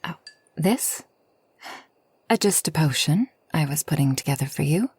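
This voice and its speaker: A woman playing a kind, long-lived forest witch in a soft ASMR roleplay, gentle and unhurried, often near a whisper. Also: deep voice, lively intonation, slightly rough, full character voice. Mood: soothing, caring. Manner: gentle, unhurried, soothing, slightly formal speech.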